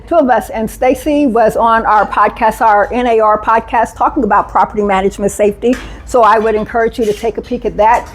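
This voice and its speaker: Woman doing a safety talk at a conference, kinda high voice, upbeat, keeps asking the room stuff. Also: a little fast, hardly any pauses. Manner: energetic, engaging, asks the audience questions. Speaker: safety speaker. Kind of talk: live conference talk to an audience.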